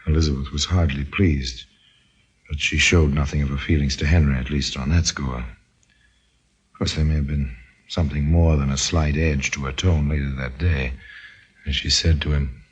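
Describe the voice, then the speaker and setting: Very deep-voiced wry radio narrator. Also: male voice, fairly even intonation, normal speed, husky. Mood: knowing. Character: wry, knowing mystery storyteller. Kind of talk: old-time radio mystery narration. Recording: muffled old radio recording.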